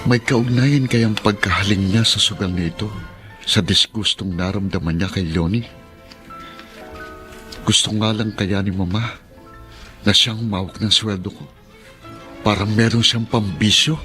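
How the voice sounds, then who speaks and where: Actor as a low-voiced troubled Filipino husband. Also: male voice, steady tone, unhurried, long pauses, music in the background, close to an everyday voice. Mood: brooding, suspicious. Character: troubled working husband, doubting his own mother. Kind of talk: Filipino radio drama acting.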